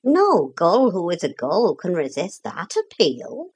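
A woman acts out the eldest gull of a storybook, lordly and hearty. The pitch leaps about dramatically and the tone is rough-edged. The manner is brisk, gallant and courteous. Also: wildly swooping pitch, darkish tone, exaggerated voice. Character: noble eldest gull, hearty master of sea birds.

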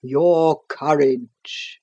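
Deep, slow Roman emperor, calm, solemn, stern judge in a storybook, read by a woman. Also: slightly rough, highs cut off, full character voice.

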